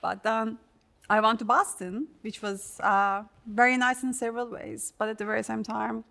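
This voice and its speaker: Woman scientist, Turkish accent, fairly high voice, informal and chatty, thinks aloud as she tells her story. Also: steady tone, moderate pace, vocal fry, sharp tone. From Turkey. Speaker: research scientist. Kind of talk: casual conversation about life and career.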